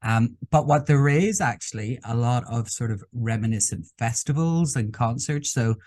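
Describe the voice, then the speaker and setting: A man's voice: medium pitch, normal speed, a little mellow, no room sound, clean studio sound. A man with an Irish accent, hosting a casual interview in an effusive, emphatic way. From Ireland. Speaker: interviewer, host.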